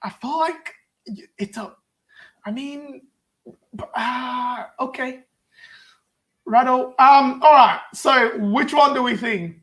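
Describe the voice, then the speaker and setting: A man's voice: extremely high pitch, takes it slowly, long pauses, a bit bright, poor audio. A young adult man from Nigeria, co-hosting a youth group chat in a playful, hesitant way with many restarts. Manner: hesitant, playful, self-deprecating, frequent restarts. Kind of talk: casual chat, playful game of two truths and a lie.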